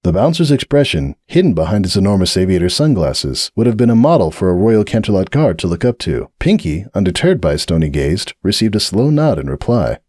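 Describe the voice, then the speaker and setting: A man narrating a dramatic reading of fan fiction in an expressive storytelling manner. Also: low-pitched, a little fast, hardly any pauses, dry recording, studio quality. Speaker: narrator, reader.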